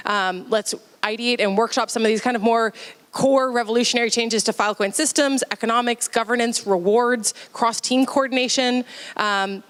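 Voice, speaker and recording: A woman's voice: mid-range voice, a bit bright, clean tone. Woman hosting in a reverberant hall. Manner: upbeat, cheering, many fillers. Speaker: event host. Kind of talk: conference kickoff talk. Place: conference hall.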